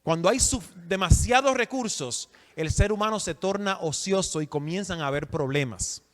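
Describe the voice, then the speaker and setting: A man's voice: high-pitched, normal speed, a bit bright. A man from the Republic, preaching a sermon and putting questions to his listeners. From Dominican Republic. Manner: asks the audience questions, emphatic. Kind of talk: sermon teaching. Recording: long hall reverb.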